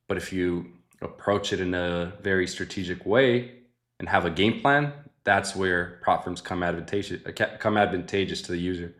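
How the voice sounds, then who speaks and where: A young man with a low, deep voice runs a live trading stream. He talks in a loose, laid-back way to his chat and thinks out loud at his desk mic, often trailing off into a soft mutter. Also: moderate pace. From Mexican family. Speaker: livestream host trading futures. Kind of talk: casual live trading commentary.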